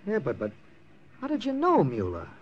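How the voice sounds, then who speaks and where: A man's voice: high-pitched, wildly swooping pitch, normal speed, lo-fi sound, exaggerated voice. An actor playing a tough, terse wartime secret agent captain in a clipped, dramatic 1940s radio style, narrating his mission. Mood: urgent, firm. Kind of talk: 1940s radio acting, hard-boiled first-person narration. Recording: old radio recording with music.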